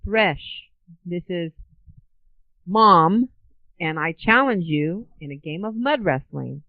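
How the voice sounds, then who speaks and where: A woman's voice: fairly low pitch, lively intonation, takes it slowly, no room sound. A middle-aged woman answering as an interview guest, casual and playful, in short, blunt phrases. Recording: tinny, narrow phone-like line.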